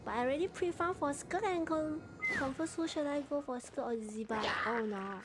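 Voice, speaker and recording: A woman with a Malaysian accent chatting casually and gigglily as she livestreams a game. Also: high-pitched. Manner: giggly, clipped unhurried phrases. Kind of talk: casual chat while playing. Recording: game music behind voice.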